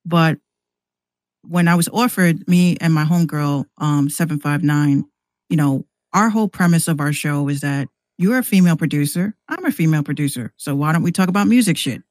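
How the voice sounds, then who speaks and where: An American woman chatting casually and candidly in a slangy, animated way, acting out exchanges as she tells stories. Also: sits low, fairly quick, dry recording. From New York City, US. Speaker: podcast guest, rapper and radio show host. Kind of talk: casual conversational storytelling.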